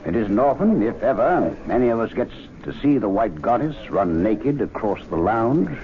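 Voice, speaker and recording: An actor playing a dry, sage, enigmatic club gentleman with a wry, measured wit in an old radio drama. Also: lowish voice, big pitch swings, narrow, tinny audio.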